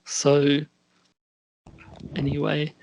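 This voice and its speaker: A male podcast host chats casually at an unhurried, slow pace. He speaks haltingly and lets sentences trail away before picking them up again. The sound is dry, with hardly any room around it. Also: a little high, monotone, gravelly, darkish tone, no echo, low-quality recording. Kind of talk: casual chat.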